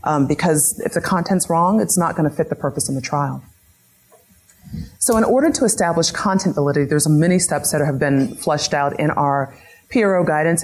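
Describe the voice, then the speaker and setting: A woman's voice: low voice, a little mellow, slightly rough. A woman giving an academic lecture, methodically posing a string of questions to her audience. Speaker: lecturer on patient-reported outcomes. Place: lecture room.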